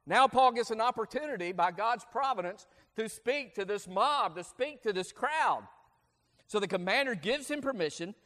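Male preacher, high voice, earnest explaining, uh fillers, long reverb of a big hall. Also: animated, moderate pace, bright, forceful tone. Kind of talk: expository sermon.

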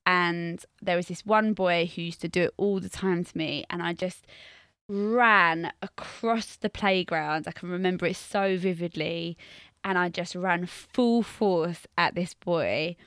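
A woman's voice: on the low side, fairly even intonation, a little slow, fairly bright tone, clear, resonant tone, no room sound, clean studio sound. A woman in her thirties from Wales, co-hosting a podcast in a chatty, self-mocking storytelling way and laughing often. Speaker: podcast co-host, teacher. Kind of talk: casual chat.

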